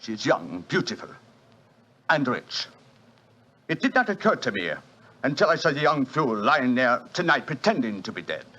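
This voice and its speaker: A suave casino manager. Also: male voice, medium pitch, sing-song, moderate pace, pauses often, sharp tone. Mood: fatalistic. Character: suave French casino manager, cool gambler. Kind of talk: 1940s radio acting. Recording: dull old radio broadcast.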